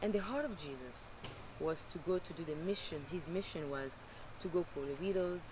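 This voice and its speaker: A man's voice: a little high, lively intonation, moderate pace. Fervent male volunteer over background noise. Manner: fervent, devout. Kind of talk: documentary testimony. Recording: noisy background.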